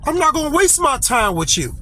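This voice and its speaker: Blunt, high-voiced street preacher man. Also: big pitch swings, a little fast, bright, forceful tone. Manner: exhorting, blunt and rhythmic, repeats "man" and "okay". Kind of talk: informal sermon. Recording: outdoor street noise.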